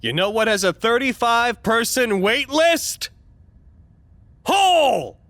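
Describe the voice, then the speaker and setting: An actor playing a fussy, self-important, easily wounded doctor in an audio drama, with a pompous, theatrical delivery. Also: male voice, very high voice, very animated, takes it slowly, fairly bright tone, dry recording, full character voice. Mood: aggrieved. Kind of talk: dramatic monologue, audio log.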